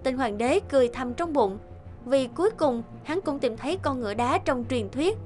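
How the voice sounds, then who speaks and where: A woman's voice: high-pitched, lively intonation, normal speed, a little mellow. A woman narrator reading a scripted fairy tale as a storyteller. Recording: music behind the voice.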